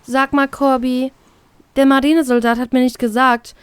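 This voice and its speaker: A woman's voice: high-pitched, flat delivery, normal speed, smooth, dry recording, voice pushed up, lightly acted. A woman playing a brusque young pirate woman, curt and irritable, in an audio story. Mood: annoyed. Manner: curt, annoyed, defiant.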